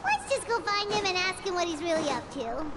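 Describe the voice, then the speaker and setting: Tiny childlike fairy sidekick, extremely high pitch, swooping intonation, excitable, anime game acting. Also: female voice, brisk, heavy background noise. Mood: chatty. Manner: speaks of herself in third person. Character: small excitable childlike floating fairy companion, female. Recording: game audio under loud music. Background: loud game music.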